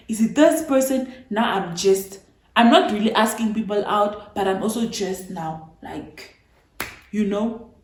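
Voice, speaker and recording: Chatty young woman in an echoey room. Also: mid-range voice. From Namibia. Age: 20s. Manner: chatty, animated retelling with playful asides. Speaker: vlogger. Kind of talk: casual storytelling to camera.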